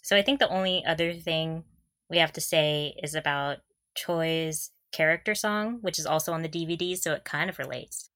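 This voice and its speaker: A woman's voice: low voice, normal speed, fairly bright tone, no room sound, clean studio sound. A woman co-hosting a podcast, chatting casually and enthusiastically as a fan, with a giggly manner. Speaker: podcast co-host.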